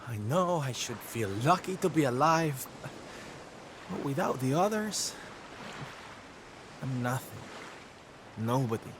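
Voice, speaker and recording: An actor playing a theatrical, sensitive male adventurer in a video game, downcast and tender, speaking in a halting, dramatic way. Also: mid-range voice, lively intonation. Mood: despairing. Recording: clear noisy ambience under the voice.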